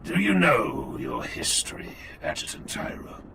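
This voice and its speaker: A man playing a stern, relentless warrior chaplain, grave, measured and commanding, in a dramatic audiobook style. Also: extremely low pitch, sing-song, heavily acted. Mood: stern, probing. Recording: clear noise and ambience behind.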